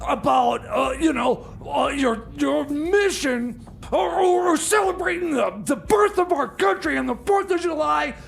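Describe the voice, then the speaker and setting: A man's voice: very high-pitched, unhurried, vocal fry, raised voice, heavily acted. A man voicing a flustered, stubborn time machine mechanic who stammers and rambles patriotically in a comedy radio sketch. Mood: indignant, preachy.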